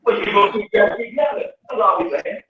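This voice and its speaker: Man, high voice, rambling and repetitive, heard over a thin echoey call from afar. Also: fast. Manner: rambling, repeats himself, swears. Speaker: podcast participant. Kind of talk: casual group discussion. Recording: distant, echoey, phone-like line.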